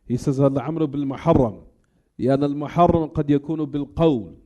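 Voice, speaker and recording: A man's voice: a little high, racing, mellow, dull audio. A man giving an Islamic lesson as a teacher, explaining scripture with quoted Arabic phrases and repeating key points in a didactic way. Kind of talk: religious lesson explaining a hadith, quoting Arabic.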